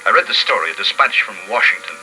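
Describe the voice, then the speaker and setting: An actor playing a haunted, desperate lone survivor, narrating dramatically and haltingly in a 1950s-style radio drama. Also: male voice, on the low side, lively intonation, a little hiss or hum, poor audio. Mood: grim, hushed. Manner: dramatic, halting, hushed to urgent. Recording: old radio broadcast, heavy music bed. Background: loud dramatic music.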